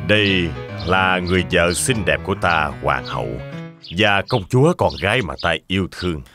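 Genial old fairytale king, regal, very animated, bright punchy voice. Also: sits low, moderate pace, faint music behind. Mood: stately, pleased.

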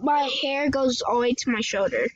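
A young girl hosting her gaming channel, chatting excitedly and sometimes shouting over the game. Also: high-pitched, fairly quick, crowd noise. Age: child. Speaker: channel host. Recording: muffled laptop mic, noisy room.

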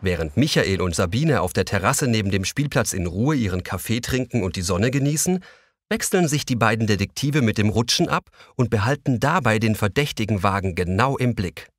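A man's voice: on the low side, expressive, brisk, no echo, clean studio sound. A man playing the composed narrator of a children's audio story, reading scripted narration.

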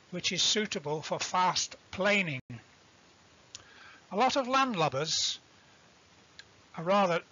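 High-pitched, husky man explaining, lo-fi recording. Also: sharp tone. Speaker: instructor presenting a sailing tutorial. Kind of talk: explanatory lecture narration.

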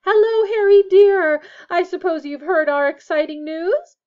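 A scolding storybook mother, performed by a woman reader. Her voice is shrill and high, with a brisk, snappish edge. The recording sounds slightly muffled. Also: very animated. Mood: proud, gushing. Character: brisk, scolding, proud middle-aged mother of big family.

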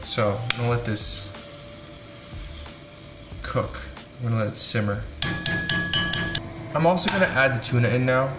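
A young man talks his way through cooking in a home kitchen, loose and self-mocking as he goes. His voice sits mid-range and bounces around a roomy, echoey space. Loud sizzling from the pan runs under everything he says. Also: mid-range voice, lively intonation, normal speed, pauses often. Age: young adult. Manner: chatty, self-deprecating, thinks aloud while cooking. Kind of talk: casual cooking vlog. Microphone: camera mic at a distance. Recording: echoey kitchen with frying noise. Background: sizzling pan and background music.

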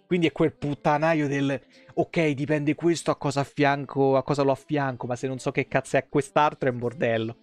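A man's voice: medium pitch, steady tone, a little fast, hardly any pauses. A man, doing irreverent, sweary live game commentary and reading lore aloud with casual asides. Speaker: game streamer. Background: game music.

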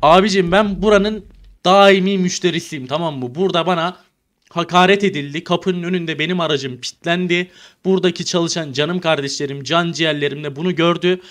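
A man with a fairly high, forceful voice bantering in an online role-play game. He talks in a brash, slangy, provocative way. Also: a little fast. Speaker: gaming video creator playing a troll character.

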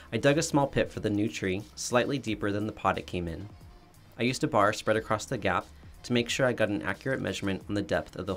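Guy doing a chill voice-over for his diy vlog, kinda low voice, matter-of-fact. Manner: plain, matter-of-fact explaining. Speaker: DIY home renovation vlogger. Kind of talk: calm voice-over narration. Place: voice-over, not recorded in the pictured yard. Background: soft background music.

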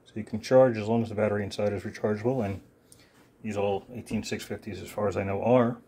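A man with a lowish voice talks through a gadget demonstration. He is offhand and halting, thinking aloud in an unscripted, casual way while recording on a phone. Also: steady tone, mellow, slightly rough. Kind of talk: casual unscripted product demo. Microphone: phone held near the hands filming.

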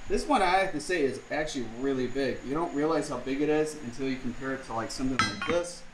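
A man's voice: medium pitch, fast, reverberant, slight background noise, rough recording. A man casually explaining a jet ski part swap, thinking aloud with lots of fillers, recorded on a camera in his home garage. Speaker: vlogger. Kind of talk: casual how-to vlog.

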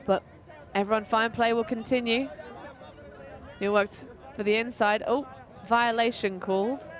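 A woman giving relaxed, playful live play-by-play commentary at an outdoor tournament. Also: fairly high pitch, measured pace, a faint crowd.

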